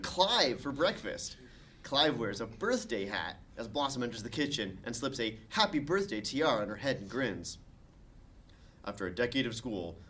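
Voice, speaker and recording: A man narrating the stage directions of a comedy screenplay at a table read, with expressive delivery. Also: lowish voice, slight background noise.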